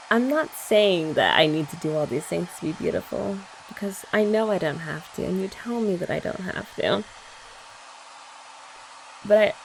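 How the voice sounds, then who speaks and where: A woman's voice: on the low side, gravelly, slight background noise, poor audio. A young woman in an ASMR girlfriend roleplay, chatting in a playful, teasing way.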